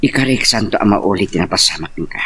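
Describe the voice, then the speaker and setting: A man's voice: lowish voice, lively intonation, fast, pushed and bright, breathy edge, exaggerated voice. A man playing an earnest friend and go-between who urges and questions in an amateur radio-style love drama. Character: earnest male friend, a go-between giving advice and help.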